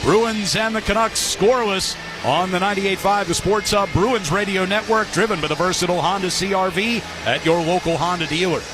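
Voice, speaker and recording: A man's voice: high-pitched, a little fast, over music. A man doing live radio hockey play-by-play, describing the action in clipped phrases. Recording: noisy live arena broadcast. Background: loud arena noise.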